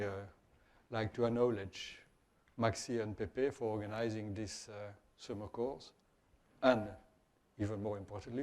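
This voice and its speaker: A male professor from Belgium, a native French speaker with a Belgian French accent, giving a scientific lecture in halting, deliberate phrases with self-corrections. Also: mid-range voice, dark tone. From Wallonia, Belgium.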